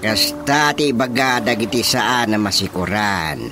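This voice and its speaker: A man's voice: on the low side, expressive, unhurried, over music. An actor playing a worried, complaining older father with an Ilocano Filipino accent, sighing and grumbling in a radio drama comedy. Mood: worried, exasperated. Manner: sighing, grumbling, full of interjections.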